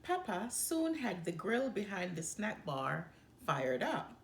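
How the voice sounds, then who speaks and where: Lady reading kids book out loud, slow, bit creaky, echoey room w faint noise. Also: medium pitch. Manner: expressive, measured storytelling. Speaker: storyteller. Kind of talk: children's picture book read-aloud.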